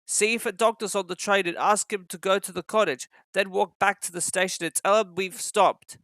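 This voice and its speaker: A man playing a kindly, earnest railway engine driver in a storybook, speaking briskly. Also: high voice, fairly even intonation, fairly bright tone, no room sound, voice pushed up. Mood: concerned, brisk.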